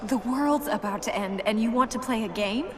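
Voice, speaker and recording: A woman's voice: on the high side, moderate pace, slight background noise, lightly acted. A woman playing a proud, earnest young princess in a video game, questioning and retorting dryly in conversational banter. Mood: exasperated. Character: proud, sharp-witted young princess, earnest and easily exasperated. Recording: music behind the voice.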